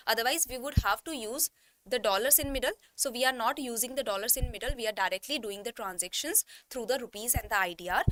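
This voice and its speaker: Bright-voiced Indian woman teaching a class. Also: a little high, no room sound. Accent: Indian English. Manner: explains by restating terms, checks in with students. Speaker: exam prep teacher. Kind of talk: live online lesson.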